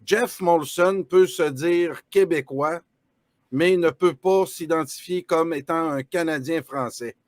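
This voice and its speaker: A man's voice: on the high side, takes it slowly, no room sound. A man with a Quebec French accent hosting a live online interview, asking questions conversationally with stumbling repetitions over a video call. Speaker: host.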